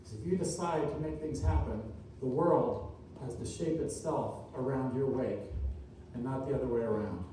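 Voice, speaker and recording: A man delivers a motivational keynote in a very reverberant room, picked up from a distance. His voice is very soft-edged and dark in tone, at a middling pitch. He speaks earnestly and deliberately, building short phrases through repetition and emphasis. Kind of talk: inspirational keynote speech to a live room. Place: large indoor room at a seminar. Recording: distant mic, echoey room, faint noise.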